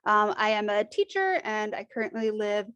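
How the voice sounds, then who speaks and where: A woman in her thirties from Washington State talks on a casual podcast. She explains things in a clear, articulate way. Her voice is close and dry. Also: medium pitch, animated, normal speed, fairly bright tone, dry recording. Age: 30s. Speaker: teacher, podcast guest.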